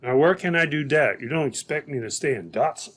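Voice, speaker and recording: A man's voice: on the high side, animated, fairly quick, a bit bright. A man playing a suspicious, jumpy gold thief in an old-time radio drama, blunt and insistent. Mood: wary, insistent.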